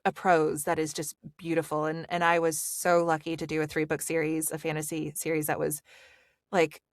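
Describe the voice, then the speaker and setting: An American woman from the Pacific Northwest talks animatedly as a podcast guest, her voice sitting a little low. She is chatty and quick to add fillers. The recording is close and dry. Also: dry recording. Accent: General American, Pacific Northwest. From Pacific Northwest, USA. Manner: animated and talkative, many fillers. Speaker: audiobook narrator guest. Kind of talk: podcast interview chat.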